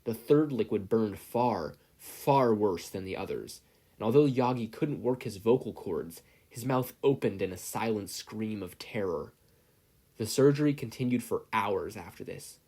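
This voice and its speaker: A man's voice: fairly low pitch, a little mellow. A man reading scripted fiction aloud as a story narrator. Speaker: podfic reader.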